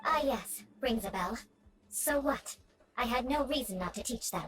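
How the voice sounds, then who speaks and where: A woman's voice: fairly high pitch, animated, normal speed, fairly bright tone. An actress playing a proud young noblewoman knight in a video game, cool, poised and dryly defiant. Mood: cool, nonchalant. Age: young adult.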